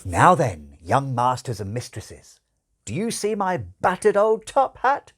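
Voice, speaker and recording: A man's voice: mid-range voice, huge pitch swings, a little slow, fairly bright tone, pitched above the performer's own voice, exaggerated voice. A man voicing a genteel, fussy, old-fashioned male angel in an audiobook, with a prim, fluttery, theatrical delivery. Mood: hammy showmanship.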